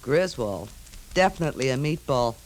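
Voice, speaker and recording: A woman's voice: rumbling low, lively intonation, moderate pace, low-quality recording. A woman playing a friendly, flirty, homesick American neighbour in a 1950s radio drama, talking in breezy, slangy, clipped phrases. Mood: breezy, inviting. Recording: 1950s radio recording, hissy background.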